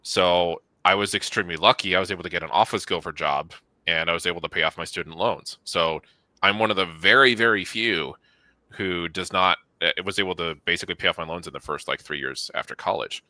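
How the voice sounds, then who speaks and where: A man's voice: low voice, brisk, bright, forceful tone, no echo. A man in his thirties chatting casually in an interview as a studio co-founder, with an informal, rambling, self-effacing way of talking. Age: 30s.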